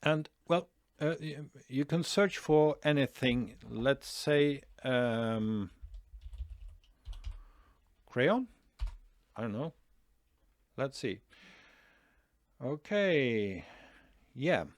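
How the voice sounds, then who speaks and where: Slow, halting Norwegian man. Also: medium pitch, animated, dry recording. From Norway. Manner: hesitant, many fillers and restarts. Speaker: online marketing tutor. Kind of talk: screen-share tutorial.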